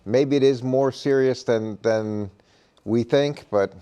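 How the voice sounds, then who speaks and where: Man, sports panelist, mellow relaxed tone, repeats words, argues in halting bursts. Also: medium pitch, clean tone. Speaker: sports panelist, football coach. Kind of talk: unscripted sports debate.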